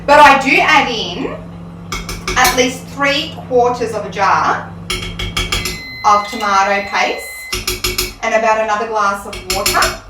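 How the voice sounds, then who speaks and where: A woman's voice: fairly high pitch, slow pace, long pauses. Chatty woman in a roomy kitchen. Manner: chatty, explains step by step with frequent ums. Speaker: Italian teacher. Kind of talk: casual cooking demonstration. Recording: roomy sound, mic at a distance, faint room noise.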